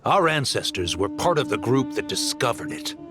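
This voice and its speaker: A man's voice: medium pitch, sing-song, moderate pace, music in the background, lightly acted. A man playing a scornful, defiant rival nomad in a video game, with a menacing manner.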